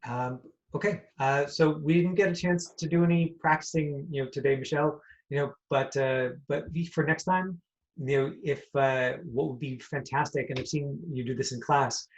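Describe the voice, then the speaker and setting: A man hosting an online class informally, haltingly repeating phrases as he goes. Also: a little high. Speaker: host and acting teacher. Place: on a video call. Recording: dull video-call audio.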